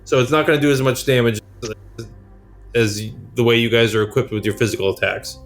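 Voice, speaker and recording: A man's voice: mid-range voice, a little fast, faint music behind. A man running a tabletop game, narrating action and explaining rules in a casual, conversational way. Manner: informal, conversational. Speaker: game master.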